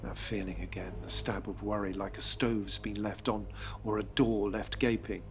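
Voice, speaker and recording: A man narrating a dramatic audio drama, hushed and tense, then urgent. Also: lowish voice, fairly even intonation, moderate pace, a little hiss or hum. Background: music.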